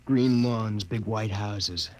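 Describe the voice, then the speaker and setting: Low-voiced, folksy American space lieutenant. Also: male voice, slow pace, a little mellow. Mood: nostalgic. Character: brash, homesick, down-to-earth young American lieutenant. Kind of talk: 1950s radio drama acting. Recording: noisy 1950s radio recording.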